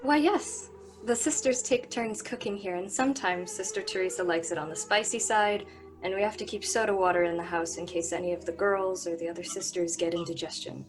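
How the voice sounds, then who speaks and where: An actress playing a helpful, friendly convent nun in a radio drama, chatty and obliging. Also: female voice, lowish voice, a little fast, over music, lightly acted, rough recording.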